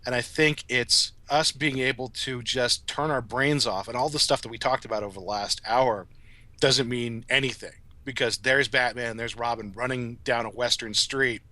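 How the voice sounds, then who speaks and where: A man's voice: mid-range voice, fairly even intonation, moderate pace, bright, forceful tone, dry recording. A middle-aged man speaking as a podcast guest in casual, reflective conversation.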